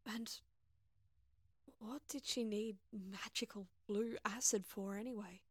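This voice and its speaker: A woman playing a frustrated, sarcastic young spacefaring heroine in an audio drama, expressive as she acts and narrates her own adventure. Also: medium pitch, slow pace, breathy edge, no room sound, studio quality. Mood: uneasy, hushed. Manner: sardonic, expressive. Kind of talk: audio drama acting with first-person narration.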